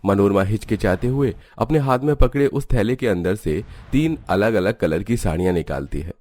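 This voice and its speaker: A man's voice: lowish voice, normal speed, warm tone. A man reading scripted narration for a cartoon story.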